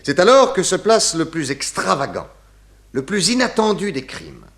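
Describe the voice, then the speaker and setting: A man playing a shrewd, assured detective in a 1960s French radio play, speaking formally with deliberate enunciation. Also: high voice, very animated, fairly bright tone, heavily acted. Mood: accusatory, triumphant. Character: shrewd, assured male detective laying out his case.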